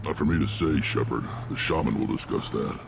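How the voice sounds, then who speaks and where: An actor playing a gruff, battle-worn alien clan leader in a video game, blunt and commanding, with a rumbling, gravelly voice. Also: male voice, fairly quick, background noise, heavily acted. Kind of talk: video game character acting.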